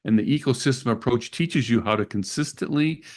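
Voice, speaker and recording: A man co-hosting a radio show, explaining self-help and spiritual ideas in a measured way. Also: medium pitch.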